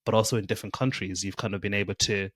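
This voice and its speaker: A man hosting an interview, asking questions encouragingly and building them up slowly. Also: fairly low pitch, steady tone, a bit bright, no echo. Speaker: podcast host. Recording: dry close sound.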